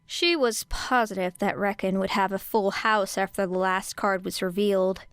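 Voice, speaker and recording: A woman narrates fiction in a medium-pitched voice with a smooth, clean tone. She reads from a script as an audiobook narrator, close to the mic in a dry space. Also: moderate pace, a bit bright, no room sound. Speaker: audiobook reader.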